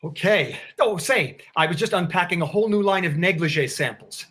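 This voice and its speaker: A man's voice: high-pitched, expressive, fairly quick, a bit bright, breathy edge, full character voice. A man playing a skittish but obliging bachelor salesman in a 1940s-style radio sitcom.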